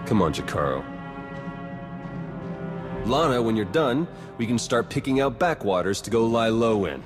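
A man's voice: medium pitch, big pitch swings, normal speed, music in the background, close to an everyday voice. An actor playing a blunt male spy with a dry, sarcastic way of talking.

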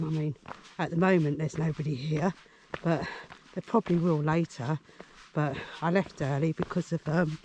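A man's voice: a little high, darkish tone, a little hiss or hum. A man talking casually to camera while out on a mountain hike, rambling along with plenty of fillers and little chuckles. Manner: chatty and rambling, many fillers, chuckles to himself. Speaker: vlogger, hiker. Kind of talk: casual vlog talk to camera. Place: outdoors on a mountain path.

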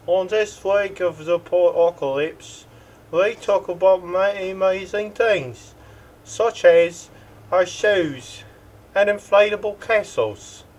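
A man's voice: high-pitched, slow pace. A young man co-hosting a podcast, clowning through a trailer in a goofy mock-announcer style in a home living room. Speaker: podcast co-host. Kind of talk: joking trailer banter.

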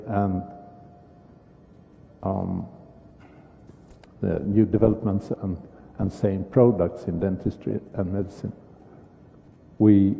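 Older Swedish-accented dentist, halting lecture delivery, dull lo-fi sound. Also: male voice, fairly low pitch, vocal fry. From Sweden. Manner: halting, with many fillers and restarts. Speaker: dentist giving a conference talk. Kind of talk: conference lecture. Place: large conference hall stage. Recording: dull sound with long hall reverb.

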